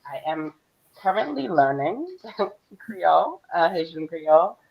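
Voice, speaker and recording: A woman's voice: on the low side, very animated, measured pace, creaky, dull audio. Candid woman in her forties. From Philadelphia. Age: 40s. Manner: open, candid, chatty with soft laughs. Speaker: guest. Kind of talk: casual panel chat.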